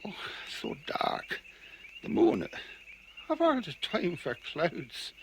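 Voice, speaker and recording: An anxious doctor in a radio adventure, easily rattled and hesitant. His pitch sits high for a man and stumbles through stammers. The recording is clearly noisy. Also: wildly swooping pitch, slow, exaggerated voice. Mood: fearful. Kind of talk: radio drama acting.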